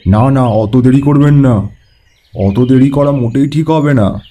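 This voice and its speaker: A man's voice: mid-range voice, measured pace, dark tone, lightly acted. A man acting a solemn, anxious village headmaster in an audio story, speaking with emphatic gravity. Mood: urgent. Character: grave, anxious male village headmaster giving warnings. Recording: music bed behind the voice.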